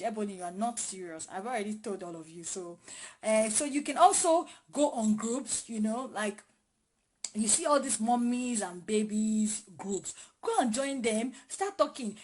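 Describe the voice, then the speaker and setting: Woman, thirties, fairly high voice, chatty, direct, phone recording, faint hiss. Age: 30s. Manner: chatty, direct, addresses viewers by name. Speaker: vlogger answering viewer questions. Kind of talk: casual live Q&A chat. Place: small home in a city.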